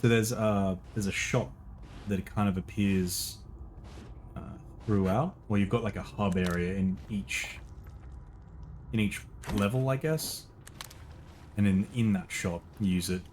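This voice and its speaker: A man's voice: fairly low pitch, unhurried, pauses often, a little mellow, slight background noise. A male streamer giving casual gameplay commentary, thinking aloud and muttering to himself.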